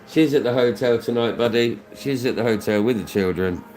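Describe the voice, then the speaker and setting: A British man with a deep voice, casually chatting on his scratch card live stream, thanking viewers by name and rambling through asides. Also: brisk, darkish tone, soft background music, rough recording.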